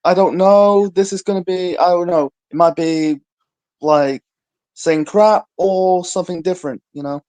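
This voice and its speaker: A man's voice: high voice, steady tone, measured pace, a little mellow. A man calling in to a live show, laid-back and rambling, with lots of fillers. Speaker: caller. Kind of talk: casual chat.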